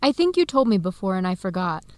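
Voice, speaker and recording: A woman's voice: medium pitch, big pitch swings, fast talker, a little mellow, dry recording, natural voice. An actress playing a friendly, curious, earnest young woman researcher in an educational radio drama, chatty and inquisitive.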